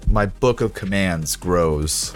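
A man with a raspy, hoarse voice chatting casually with his livestream viewers, rambling, sarcastic and swearing as he reads figures aloud. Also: low-pitched, slow pace, loud music behind the voice. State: hoarse, sore voice.

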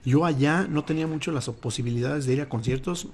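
A man's voice: fairly high pitch, brisk, darkish tone, a little hiss or hum. A man with a Mexican Spanish accent, co-hosting a casual chat, laid-back and joking, swearing freely.

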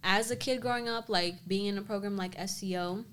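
A woman's voice: medium pitch, fairly quick, a bit bright. Young woman podcast cohost, rambly and giggly, light hiss in the background. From Dominican American, grew up in the US. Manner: rambling, laughs often, lots of "like". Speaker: co-host. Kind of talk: casual podcast chat.

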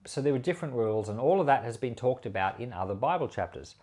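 A man, a pastor, reading scripture and giving devotional commentary in a measured, emphatic delivery. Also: medium pitch, animated, darkish tone.

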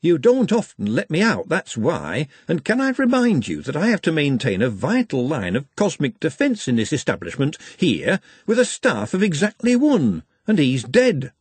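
A man's voice: fairly high pitch, wildly swooping pitch, moderate pace, few pauses, husky, dry recording, pitched above the performer's own voice, full character voice. A man voicing a put-upon, grumbling wizard doctor who sounds aggrieved, in a fantasy audiobook. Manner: aggrieved, complaining.

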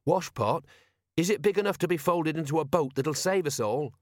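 A man's voice: fairly high pitch, animated, normal speed, no room sound, voice pushed up, close to an everyday voice. A man voicing a sardonic undead constable in an audiobook, with dry, sarcastic grumbling.

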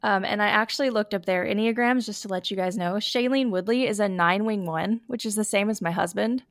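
A woman's voice: medium pitch, fairly quick, dry recording, clean studio sound. A young adult woman hosting a casual podcast chat, her voice scratchy and a bit sniffly from allergies, with lots of fillers. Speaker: podcast host.

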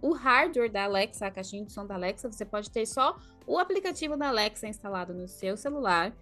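A woman's voice: mid-range voice, lively intonation, quick pace, pushed and bright, soft background music. A Brazilian woman co-hosting a podcast in casual, emphatic conversation. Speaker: podcast co-host.

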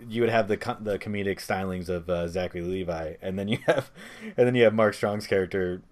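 A man's voice: deep voice, fairly quick, darkish tone. A man hosting a casual movie discussion podcast, giving his opinions with joking asides. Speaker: podcast host.

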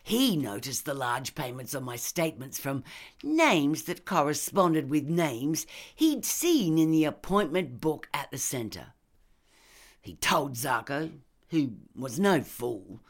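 A woman's voice: deep voice, big pitch swings, slow, exaggerated voice. A woman voicing a scornful, ranting middle-class female murderer in an audiobook, sneering and emphatic. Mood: cold confession. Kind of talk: audiobook character dialogue.

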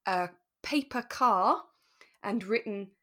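A woman co-hosting a podcast in relaxed, conversational style, talking thoughtfully and a little tentatively. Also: mid-range voice, slow. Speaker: podcast co-host.

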